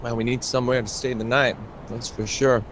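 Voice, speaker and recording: A man's voice: mid-range voice, little pitch movement, fairly quick, a bit bright, slight background noise, lightly acted. A man voicing a skeptical, foul-mouthed young woman survivor in a blunt, casual, sardonic way for a tabletop game. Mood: practical.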